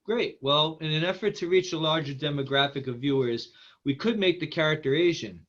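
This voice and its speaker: A man playing a smooth, agreeable film producer in a table read. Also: a little high, fairly quick, a bit bright, close to an everyday voice. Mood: earnest. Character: smooth, agreeable male producer pushing diversity casting. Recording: slightly dull, lo-fi audio.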